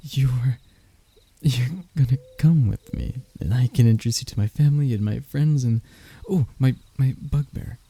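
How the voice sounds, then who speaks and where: A man playing a lovesick young royal heir in an intimate romance roleplay, stammering and hesitant, with a husky, breathy voice. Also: mid-range voice, moderate pace, mellow. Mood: stunned, overjoyed. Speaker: voice actor in audio roleplay.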